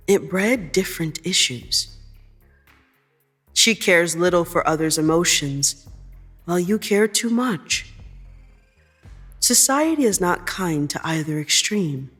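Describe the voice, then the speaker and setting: Woman narrating fiction over music, measured. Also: fairly low pitch, slightly rough. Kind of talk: scripted second-person fiction narration.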